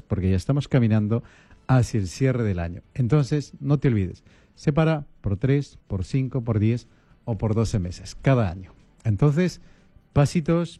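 Brief: male priest, radio talk guest, very warm, dark tone, dry close sound, calm coaching delivery. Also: medium pitch, no echo. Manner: calm, repetitive listing, coaching tone.

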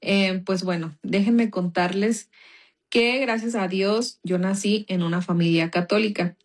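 A woman's voice: mid-range voice, fairly even intonation, unhurried. A young Mexican woman with a Mexican accent, telling her personal faith story in a casual, chatty way full of small fillers. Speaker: guest giving a testimony.

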